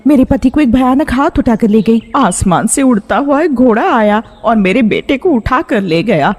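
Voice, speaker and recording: A woman's voice: on the high side, lively intonation, normal speed, darkish tone. A woman playing a distraught village woman in a cartoon horror tale. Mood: distraught, insistent. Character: distraught village woman recounting supernatural abductions. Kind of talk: cartoon horror story dialogue. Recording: long reverberant hall echo.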